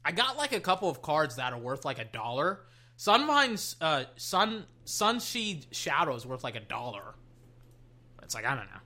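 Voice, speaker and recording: A young adult talks fast and loose over a pile of card packs, jumping from bursts of hype down to low mutters. The voice is bright and edged, with a lively up-and-down pitch. A slight hoarseness runs under it. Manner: excitable, swings between loud hype and low muttering; swears casually, trails off and restarts. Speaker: card-opening vlogger. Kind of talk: casual commentary while opening card packs. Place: at a desk indoors.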